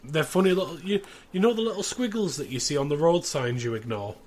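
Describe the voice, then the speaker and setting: A man's voice: a little high, a little fast, darkish tone. A man hosting a podcast in casual, conversational discussion, reviewing as he goes. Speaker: podcast host.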